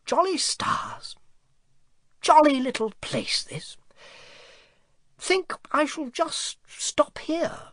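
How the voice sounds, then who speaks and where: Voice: medium pitch, no room sound, clean studio sound, voice pushed up. A reader putting on a drowsy, murmuring dragon. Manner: drowsy, murmuring, half-whispered. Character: big sleepy good-natured male dragon.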